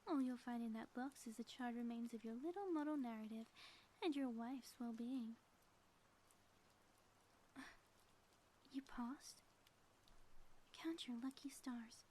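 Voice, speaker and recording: A woman's voice: sits high, warm tone, a little hiss or hum. A woman playing a theatrical ex-villain spouse in an audio roleplay, delivering grandiose, mock-menacing taunts in florid language. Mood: hushed menace. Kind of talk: scripted audio roleplay monologue, ASMR.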